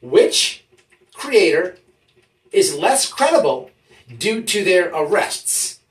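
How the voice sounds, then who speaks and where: A YouTube host giving mock-serious commentary in an emphatic, halting, mock-official way, often checking in with listeners. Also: medium pitch, big pitch swings. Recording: roomy echo, distant from the mic.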